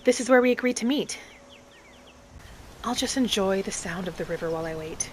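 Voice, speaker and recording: Soft, musing woman roleplaying an elf adventurer. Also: mid-range voice, lively intonation, a little fast, a little hiss or hum. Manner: soft, musing, speaking thoughts aloud. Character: gentle, hopeful young female wood elf adventurer. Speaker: roleplay gamer narrating in character. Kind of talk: in-character game roleplay narration. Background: music.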